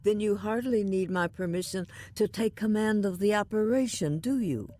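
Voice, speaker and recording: A woman playing a composed, diplomatic starship officer in command in an audio drama, measured, calm and dry. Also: medium pitch, natural voice.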